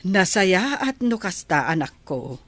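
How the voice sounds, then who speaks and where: An actress with an Ilocano accent playing a proud, moralizing older mother with a sharp tongue in a comedy radio drama. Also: lowish voice, very animated, unhurried, no echo, exaggerated voice. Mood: proud, advising.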